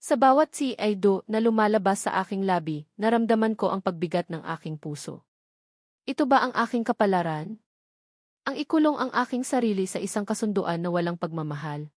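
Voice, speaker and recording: A woman narrates a scripted first-person love story in an emotive, melodramatic way with deliberate phrasing. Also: mid-range voice, expressive, dry recording, clean studio sound. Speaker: audio drama narrator.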